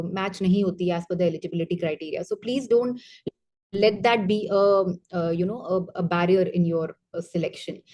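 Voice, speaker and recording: A woman's voice: mid-range voice, darkish tone. Candid Indian woman giving advice. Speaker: retired coast guard officer, interview guest. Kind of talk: conversational interview, mixing English and Hindi.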